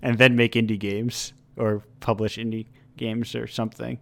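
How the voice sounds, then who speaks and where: A man's voice: medium pitch, little pitch movement, moderate pace, creaky, fairly bright tone. A young man hosting a podcast, chatting casually over a call and asking loose, rambling questions. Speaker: podcast host.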